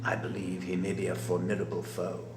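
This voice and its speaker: An actor playing the composed, menacing leader of a secret murderous society, speaking in formal, measured oratory in a film drama. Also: male voice, deep voice, monotone, reverberant, faint music behind. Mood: grave, controlled.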